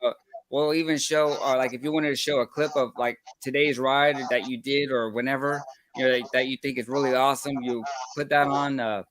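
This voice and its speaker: A laid-back man in his forties hosts a relaxed chat among friends. He pauses and hunts for words as he talks. His voice sits at an ordinary middle pitch. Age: 40s. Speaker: host. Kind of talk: casual chat.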